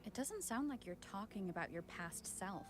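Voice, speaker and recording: A woman's voice: mid-range voice, expressive, moderate pace, close to an everyday voice. An actress playing a serious, dutiful young woman in a video game, speaking in an earnest, composed way. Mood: questioning.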